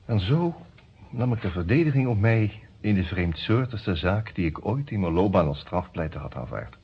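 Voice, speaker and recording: An actor in a Dutch radio detective drama playing a composed, businesslike defence lawyer with a measured, formal manner. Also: male voice, lowish voice, slightly rough, exaggerated voice. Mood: reflective narration. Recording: muffled old radio play recording.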